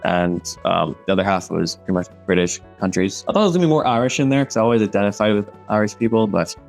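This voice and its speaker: Hombre joven de voz grave, charla titubeante con muchas muletillas y risas, música suave de fondo. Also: male voice, fairly low pitch, expressive, quick pace, vocal fry, darkish tone, soft background music. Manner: hesitant, full of fillers, laughs often. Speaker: podcast co-host. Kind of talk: casual podcast chat.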